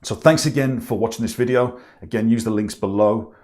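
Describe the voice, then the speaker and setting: The low-voiced man you would hear in an online careers course, walking viewers through the steps one by one and leaning on the points that matter. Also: brisk, darkish tone. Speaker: job interview coach presenting a tutorial. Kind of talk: instructional talk to camera, step by step.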